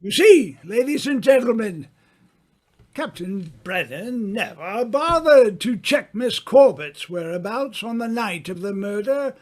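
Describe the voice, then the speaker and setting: A man acting in an old-time radio mystery as a suave, smug gentleman sleuth, with a theatrical, accusing delivery. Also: high-pitched, lively intonation, a little slow. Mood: relentless, triumphant.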